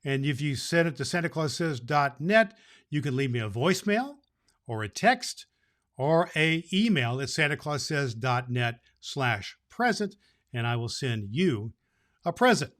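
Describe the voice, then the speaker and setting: A man playing Santa Claus, a jolly old man who reads storybook tales to children and hosts the show in an animated manner. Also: unhurried. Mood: cheerful hosting. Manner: jolly, animated, booming ho-ho laughs. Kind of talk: storybook reading and address to children.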